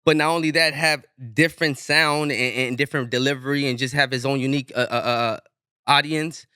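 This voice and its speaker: A man's voice: medium pitch, a little fast, smooth, no echo, studio quality. A man from Inglewood, California, talking loosely as a rapper guest on a podcast, swearing freely. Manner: relaxed, loose, swears freely, many restarts. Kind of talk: casual podcast interview.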